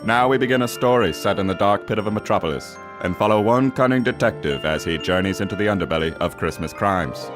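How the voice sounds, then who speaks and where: A man's voice: fairly low pitch, animated, quick pace, hardly any pauses, over music, lightly acted. A man playing a grave, ominous crime-show announcer, reading a scripted dramatic intro with solemn weight. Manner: portentous, solemn.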